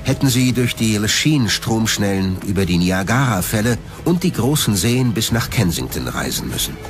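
A man's voice: lowish voice, sing-song, normal speed, music in the background. A man reading scripted documentary narration as the narrator of a history programme.

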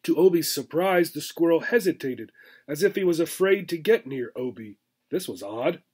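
Male teacher-reader, slightly high pitch, narrating a children's story expressively. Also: animated, normal speed, a little mellow, slightly rough. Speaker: teacher reading a children's book aloud. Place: small furnished room indoors.

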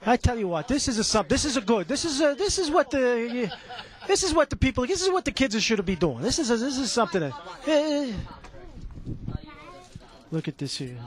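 A man playing an excitable, chatty Italian-American stadium peanut vendor who rambles and repeats himself in broken English. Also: extremely high pitch, normal speed. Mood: amazed, chatty. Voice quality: broken English with stray articles. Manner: rambling, repetitive catchphrases. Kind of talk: improvised comic character patter.

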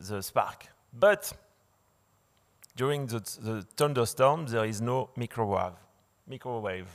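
Slow, halting man giving a lecture. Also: medium pitch. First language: not English. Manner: hesitant, many fillers and restarts. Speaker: conference speaker. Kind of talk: slide lecture. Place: large dark lecture hall.

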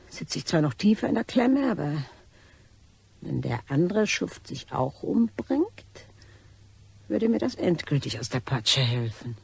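A woman playing a callous, scornful, opportunistic schemer in a German crime radio play, with a very husky, breathy voice and a theatrical, muttering manner. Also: deep voice, no echo, heavily acted.

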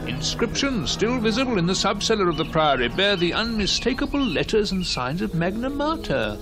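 Theatrical gentleman telling grisly legends. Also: sits high, sing-song. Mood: ominous. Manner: theatrical, ornate phrasing, hesitant pauses. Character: genteel man recounting grisly local legends with ominous relish. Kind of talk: mid-century radio drama acting. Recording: old radio drama recording with music behind.

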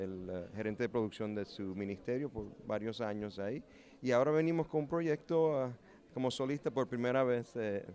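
A man's voice: on the low side, a little mellow. A man in his forties from New Jersey, a musician and producer answering interview questions earnestly at an expo booth. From New Jersey, USA. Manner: earnest, with frequent hesitations. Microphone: handheld mic.

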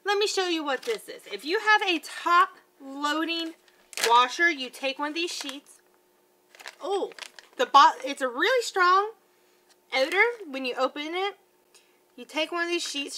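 Woman, 30s, casual review vlog, high bright voice, home living room, webcam, faint background noise. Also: animated, measured pace, long pauses. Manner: chatty, stumbles over words. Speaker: product reviewer. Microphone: webcam at close range.

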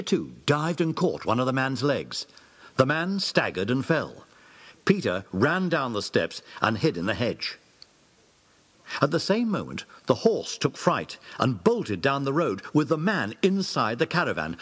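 A man's voice: medium pitch, sing-song, a little slow, fairly bright tone. A man reading scripted narration for a children's audio drama. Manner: clear, storytelling.

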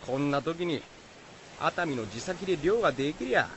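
A blunt, excitable fisherman from a folktale radio play, acted by a man. His voice rides high and swings widely, with rough exclamations in rural Izu dialect. There is a faint layer of noise behind him. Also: moderate pace, close to an everyday voice. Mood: grumbling. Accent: rural Shizuoka, Izu dialect. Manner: rough, exclamatory rustic speech. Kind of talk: radio drama acting of a folktale.

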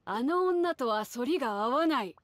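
A woman's voice: high-pitched, lively intonation, moderate pace. A woman voicing a bold, confident female sea captain in a Japanese anime game, brusque and self-assured. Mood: scornful.

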